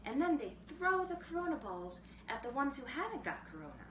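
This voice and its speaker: A woman voicing a chattering, stubborn, excitable seven-year-old boy in a storybook reading. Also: high-pitched, fairly quick, voice pushed up. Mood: excited, insistent. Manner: jabbering, squealing, insistent. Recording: roomy, distant mic, clearly noisy background.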